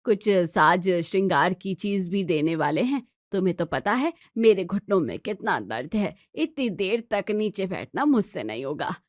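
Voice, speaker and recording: Household matriarch, read by a woman storyteller, older, practical, measured delivery with small hesitations, dry recording. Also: on the low side, very animated, dry recording. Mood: explaining.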